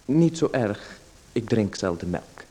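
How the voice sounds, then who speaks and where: A man's voice: lowish voice, big pitch swings, slow pace, mellow, heavily acted, poor audio. A man playing a flustered, apologetic playwright. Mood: nervous. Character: nervous, overly polite male playwright. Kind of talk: radio play acting.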